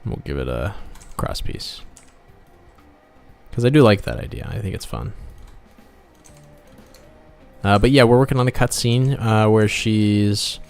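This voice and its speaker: A man's voice: lowish voice, animated, normal speed, gravelly, faint music behind. A man streaming casually as an indie game developer, laid-back, thinking aloud and muttering asides as he draws. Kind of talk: casual art livestream chat.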